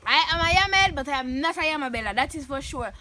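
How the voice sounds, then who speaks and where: A young Jamaican woman hosting her vlog, chatting animatedly and breaking into loud laughs and shouts. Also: high-pitched, expressive, fast talker. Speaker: vlogger host. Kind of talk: casual chat answering questions.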